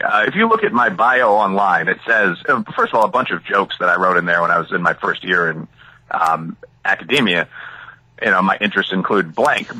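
Bright, husky man talking animatedly. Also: medium pitch, low-quality recording. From Chicago area, USA. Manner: expansive, thinks aloud with frequent asides. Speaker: author and academic guest. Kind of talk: conversational interview answers.

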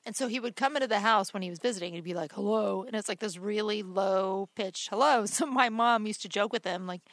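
A woman co-hosting a casual chat, with a fairly deep voice, telling family stories and laughing often. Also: fairly quick, no echo. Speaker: co-host.

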